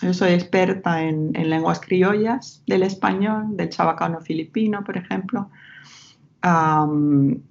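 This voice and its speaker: A woman's voice: on the low side, unhurried, creaky. A woman researcher from Finland with a Finnish accent, whose first language is Finnish, speaking thoughtfully in an academic interview with frequent restarts. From Finland, Ostrobothnia. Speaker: researcher guest. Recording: slightly lo-fi.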